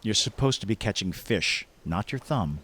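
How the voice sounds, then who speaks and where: A man's voice: fairly low pitch, expressive, moderate pace, a bit bright. A man playing a dry, good-natured older man who teases in a plain-spoken way, in a naturalistic drama. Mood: dry, teasing. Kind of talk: naturalistic short film drama acting.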